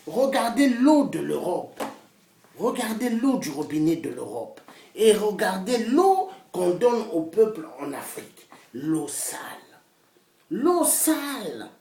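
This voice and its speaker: Woman activist, fiery rant, wildly lively pitch, recorded from a distance. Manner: fiery and repetitive, swinging from loud outbursts to hushed whispers. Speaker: political activist. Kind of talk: impassioned political rant to camera. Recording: roomy echo, voice far from the mic.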